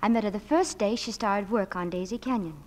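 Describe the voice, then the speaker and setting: A woman's voice: mid-range voice, brisk, a little mellow. A young woman giving a scripted 1940s radio interview as a starlet guest, in a reverberant recording. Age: young adult. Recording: long hall reverb.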